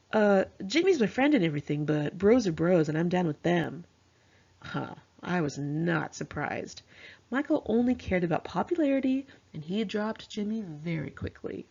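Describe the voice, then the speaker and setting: An American woman narrates a personal memoir in a low, husky voice. She sounds conversational and slangy, like an author reading her own informal story, and acts out quoted dialogue. The recording is a slightly dull, lo-fi home take. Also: animated, normal speed, a little mellow.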